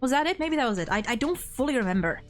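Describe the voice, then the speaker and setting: Young woman w a high voice, casual gushy fan talk, swears a lot, bit of music behind her. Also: sing-song, rapid-fire. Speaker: host of a video game ranking discussion. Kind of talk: casual chat.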